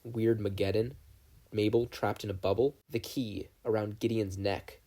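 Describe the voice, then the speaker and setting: A man's voice: fairly low pitch, fairly even intonation, normal speed, dark tone. A man reading scripted fiction as an audiobook narrator, telling a story. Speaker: fan fiction audiobook reader.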